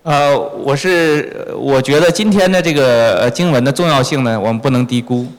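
A man's voice: mid-range voice, moderate pace. A man interpreting a sermon phrase by phrase in a church. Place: large reverberant church hall. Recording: echoey hall with long reverb.

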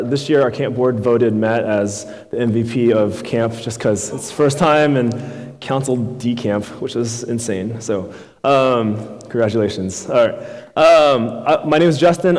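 Casual youth pastor in a reverberant hall. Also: male voice, mid-range voice. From Houston, Texas. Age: 30s. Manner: relaxed, many fillers, asks the crowd questions. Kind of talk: casual conversational sermon. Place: church sanctuary. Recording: long reverb in a large hall.

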